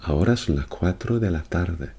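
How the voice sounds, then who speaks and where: A man reading short phrases in a plain, measured way for a language lesson. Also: sits low, lively intonation, gravelly, warm tone, slightly rough.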